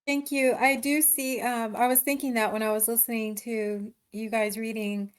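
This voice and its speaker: A woman's voice: a little high, little pitch movement, moderate pace, clean tone. A woman talking casually in an online discussion, responding as a writer receiving feedback. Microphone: online meeting mic.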